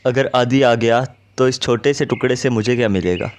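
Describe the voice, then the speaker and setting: A man's voice: mid-range voice, moderate pace, a little mellow, lightly acted. A man voicing a theatrical male bird friend in a children's cartoon. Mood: scheming. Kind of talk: Hindi children's cartoon voice acting.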